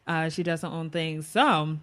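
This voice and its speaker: A woman's voice: low-pitched, unhurried, fairly bright tone. A woman from Ghana co-hosting a podcast, chatting casually, assertive and teasing, laughing often. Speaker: podcast co-host. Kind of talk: casual chat.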